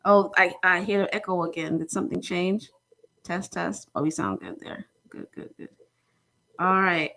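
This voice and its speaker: A woman's voice: lowish voice, steady tone, moderate pace, vocal fry, pushed and bright. Chatty woman hosting a live stream. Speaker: host.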